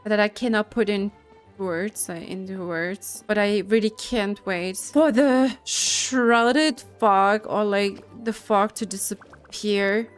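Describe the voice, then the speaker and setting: A woman streams a game with casual, halting commentary. She talks very slowly at a middling pitch, thinking out loud over faint music. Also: steady tone, smooth.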